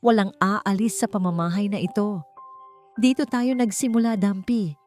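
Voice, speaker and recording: A gruff 65-year-old man in a spoken storybook, stubborn and fierce, with a rough, gravelly voice, as a woman narrator puts him on. He pushes back hard and refuses to yield. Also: medium pitch, moderate pace, soft background music, voice pushed up, close to an everyday voice. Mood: defiant. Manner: defiant and forceful when roused.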